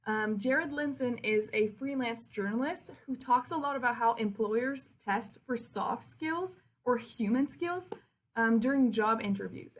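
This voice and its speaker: A woman in her twenties with a French Canadian accent, giving a friendly, conversational presentation for a virtual open house in her second language. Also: a little high. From Quebec City, Canada. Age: 20s. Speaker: university liaison officer, presenter.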